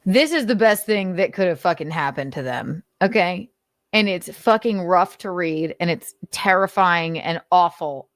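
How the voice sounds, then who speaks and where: Woman w a lowish voice reading notes n commenting, sarcastic, sweary, real dry close sound. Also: no echo. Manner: sardonic, sweary, conversational. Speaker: host of a commentary show.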